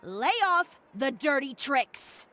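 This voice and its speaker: A woman's voice: high voice, animated, takes it slowly, pushed and bright, full character voice. A woman playing a haughty, short-tempered young boutique owner in an anime game, stern and contemptuous in a clipped way. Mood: cold threatening.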